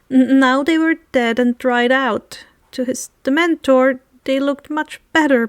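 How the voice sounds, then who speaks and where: A woman's voice: high voice, no room sound. A woman narrating a story expressively as an amateur audiobook reader, now and then stumbling slightly over a word.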